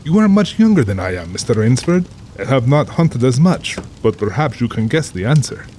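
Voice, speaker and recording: Over rain and ambience comes a man acting a middle-aged aristocratic general in a radio-style drama. He is urbane and cold-blooded, with formal diction and a pompous, amused air. His voice sits at a medium male pitch. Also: big pitch swings, normal speed, mellow, a little hiss or hum, natural voice. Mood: suave, boastful.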